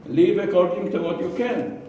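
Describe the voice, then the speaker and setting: A man with an Israeli accent giving a prepared speech to a club, slow and deliberate, with pauses and repeated words. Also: sits high, dark tone, dull audio, slight background noise. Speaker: club speaker. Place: meeting room. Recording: echoey room from far off.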